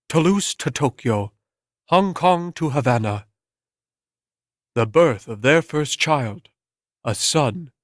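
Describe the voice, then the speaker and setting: A man narrating a scripted story in a measured, deliberate, dramatic-reading style, close on the microphone. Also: medium pitch, a bit bright, no echo. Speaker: audiobook narrator.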